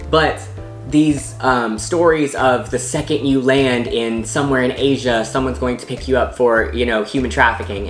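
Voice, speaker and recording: A man's voice: medium pitch, a bit bright. Young american guy vlogging, rambly, lots of likes, roomy echo and faint music behind. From United States. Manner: rambling, many "like"s and restarts. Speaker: travel vlogger. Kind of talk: casual talk to camera.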